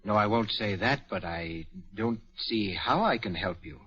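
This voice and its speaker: An actor playing a polite, reluctant yet outspoken valet in a 1940s radio mystery, in a deferential manner. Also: male voice, fairly low pitch, animated, unhurried, pushed and bright, slightly rough, heavily acted. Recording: muffled vintage 1940s radio recording.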